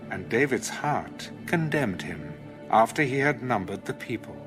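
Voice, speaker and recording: A man narrates scripture in a measured, storytelling way. The pace is slow and the inflection lively, with music clearly behind. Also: medium pitch. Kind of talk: scripted audio Bible narration.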